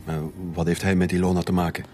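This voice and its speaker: Actor as fast-talking, low-voiced Flemish police boss. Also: male voice, completely flat delivery, darkish tone, faint music behind, natural voice. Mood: businesslike. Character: brisk male police director, businesslike. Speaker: radio drama actor. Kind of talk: Dutch-language radio drama acting.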